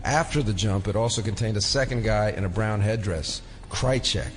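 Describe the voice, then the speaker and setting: A male actor plays a dogged investigator with a low voice. He is dry and understated, chasing conspiracies in an audio drama over a faint musical score. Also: moderate pace, faint music behind, lightly acted. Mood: dry, intent.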